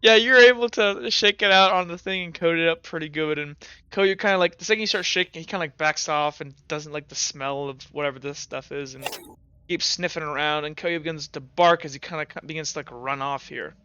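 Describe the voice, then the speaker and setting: Hesitant game master, smooth voice, dull dry sound. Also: male voice, fairly high pitch, fairly quick, pushed and bright, no room sound. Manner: hesitant, full of ums and restarts. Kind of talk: narrating a tabletop game.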